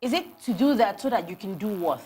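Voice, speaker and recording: A Nigerian woman in her forties talks as a guest on a TV morning show. She speaks quickly and tells her stories with animated energy. She often restarts her sentences as the thoughts come. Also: mid-range voice, husky. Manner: animated storytelling, many restarts. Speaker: guest, HR consultant. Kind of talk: TV interview conversation.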